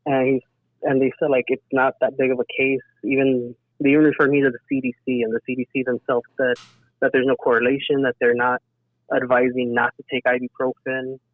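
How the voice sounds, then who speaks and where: A man's voice: mid-range voice, little pitch movement, brisk, dry recording. Man on a thin phone-like line. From south Texas, United States. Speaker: interview guest, emergency dispatcher. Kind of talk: casual personal account in a podcast interview. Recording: thin phone-like band-limited sound.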